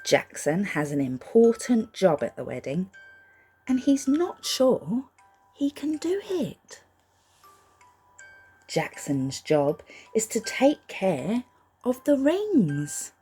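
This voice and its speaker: Animated woman reading a children's storybook. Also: mid-range voice, takes it slowly, pauses often, breathy edge, faint music behind. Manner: gentle, reassuring, questioning as if to small children. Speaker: storybook reader for young children. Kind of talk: expressive picture-book read-aloud with asides to child listeners.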